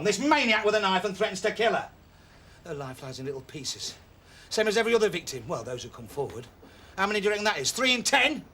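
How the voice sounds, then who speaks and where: A man's voice: high voice, fairly bright tone, full character voice. A man playing a dogged, impassioned British police detective in a TV drama, arguing bluntly and insistently. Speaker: detective constable. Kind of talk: naturalistic TV police drama acting.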